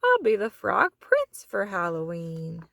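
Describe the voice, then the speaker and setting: A woman voicing an excitable, imaginative young boy frog in a storybook, exclaiming theatrically. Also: mid-range voice, slow, gravelly, no room sound, heavily acted. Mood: excited, dreamy. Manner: exclaiming, theatrical, stretched words.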